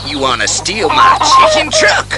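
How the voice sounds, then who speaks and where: An actor playing a folksy, exasperated poultry truck driver, grumbling and flustered, in an audio drama. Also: male voice, high voice, wildly swooping pitch, normal speed. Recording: loud music and poultry sounds behind.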